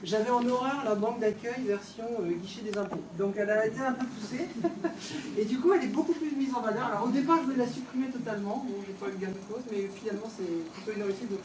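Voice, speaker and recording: A woman local official gives an informal opening speech in a conversational, halting, off-the-cuff way, recorded indoors from a distance. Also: fairly high pitch. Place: indoors, tourist office exhibition hall. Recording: echoey room, distant mic, background noise.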